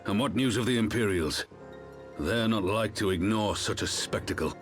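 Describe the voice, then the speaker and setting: An actor playing a stern, skeptical military leader in a fantasy game, with a grave, formal way of talking. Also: male voice, on the low side, normal speed, soft background music, lightly acted. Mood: grim. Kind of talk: dramatic fantasy game voice acting.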